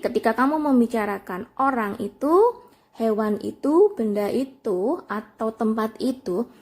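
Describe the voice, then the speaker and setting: A woman's voice: fairly high pitch, slightly rough. A woman English teacher explaining a lesson in simple, plain steps.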